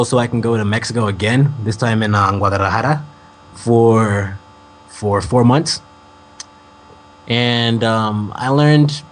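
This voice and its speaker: A young American man and musician, a guest in an interview, describing his past in a relaxed, rambling way with many ums. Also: mid-range voice, slight background noise, rough recording. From United States. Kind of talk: casual interview talk.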